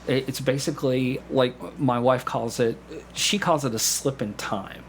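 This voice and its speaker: A man speaking as a podcast guest, explaining things casually in a halting way with repeated words and false starts. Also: mid-range voice, fairly even intonation. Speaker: podcast guest, community theatre actor. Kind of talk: casual conversational explanation with anecdotes. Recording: clear background noise with room ambience.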